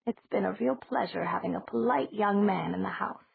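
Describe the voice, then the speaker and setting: Woman doing a gentle old witch lady, slow rambling talk, gravelly and husky, a bit higher, tinny dry sound. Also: slight background noise.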